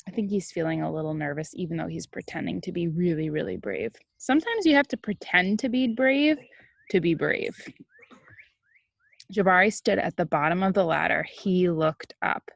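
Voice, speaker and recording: Lower-pitched woman reading to young children. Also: creaky, dry recording, clean studio sound. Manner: animated, expressive storytelling with casual asides. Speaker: kindergarten teacher. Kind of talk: read-aloud storytime for young children.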